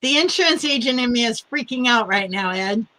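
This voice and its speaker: A woman's voice: a little high, expressive, a little fast, pushed and bright, a little hiss or hum. A woman hosting a casual live chat over a video call, chatty and upbeat, laughing easily. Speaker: livestream host. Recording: choppy internet stream.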